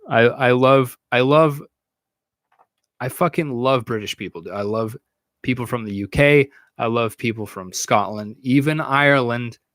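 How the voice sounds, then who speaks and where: A man's voice: medium pitch, a bit bright, dry recording, clean studio sound. A young American man hosting a podcast livestream, chatting casually with viewers in a crude, joking way and swearing a lot, recorded in a small room on a stand microphone. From United States. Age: young adult. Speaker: podcast host answering chat. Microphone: studio mic on a stand in front.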